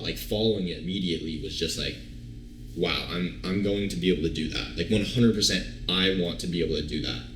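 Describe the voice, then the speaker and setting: A man of twenty-two telling his story as an interview guest, relaxed and rambling with lots of fillers and restarts. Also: sits low. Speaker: guest, online fitness coach. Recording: roomy echo.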